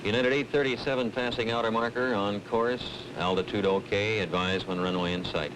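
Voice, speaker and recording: A man playing a steady radar approach controller, giving precise instructions in formal radio phrases. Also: on the low side, normal speed, lightly acted. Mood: steady, focused. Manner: methodical, even, precise. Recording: noisy old film soundtrack.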